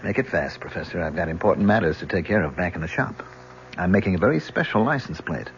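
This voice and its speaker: A sneering, sarcastic young American convict. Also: male voice, deep voice, expressive, quick pace. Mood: sullen sarcasm. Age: young adult. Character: embittered, sarcastic young male convict, mocking and defiant. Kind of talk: old-time radio drama acting. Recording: muffled, faintly hissy old radio recording.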